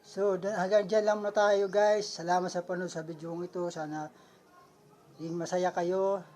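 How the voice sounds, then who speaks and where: A woman's voice: on the low side, level tone, moderate pace, mellow. A woman with a Filipino accent hosting a puppet show vlog, chatting casually to viewers. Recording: dull lo-fi audio.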